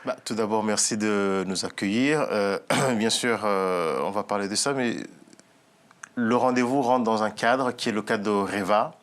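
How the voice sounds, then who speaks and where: A man's voice: lowish voice, measured pace. Earnest, hesitant entrepreneur guest, a man in his late 30s. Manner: hesitant, many fillers and restarts, earnest. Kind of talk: TV talk show interview.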